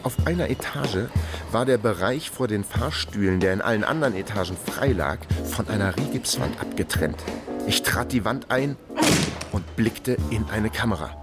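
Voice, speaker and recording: Wry male sleuth for a crime radio play, a man acting, low register, matter-of-fact first-person delivery. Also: lively intonation, normal speed, over loud music, natural voice. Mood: dry narrating. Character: wry male investigator narrating his undercover caper.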